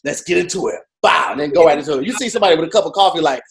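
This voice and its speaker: A man co-hosting a podcast, joking around in an animated, profane way. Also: on the high side, racing, a little hiss or hum. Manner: animated, profane, jokey storytelling with mocking quoted voices. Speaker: podcast co-host. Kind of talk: casual comedic chat.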